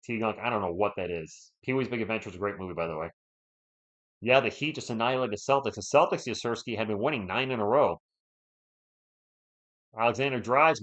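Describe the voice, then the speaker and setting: A man's voice: lowish voice, a bit bright. A man with a sore throat, losing his voice, doing casual live play-by-play of a basketball game while chatting with viewers. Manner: chatty, reads and answers viewer chat between plays. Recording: dull lo-fi stream audio.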